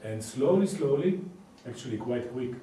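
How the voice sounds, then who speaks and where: A man, a Hebrew speaker, answering interview questions in a casual, explanatory way with frequent pauses and filler sounds. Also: mid-range voice, animated, mellow, slight background noise. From Israel. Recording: echoey room, distant mic.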